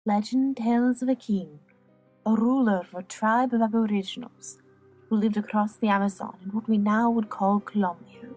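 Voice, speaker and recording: Breathy, alluring courtesan storyteller, played by a woman. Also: medium pitch, fairly even intonation, moderate pace, darkish tone, faint music behind, lightly acted, low-quality recording. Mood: hushed storytelling. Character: alluring young female courtesan telling legends, worldly and teasing. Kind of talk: dramatic audiobook storytelling.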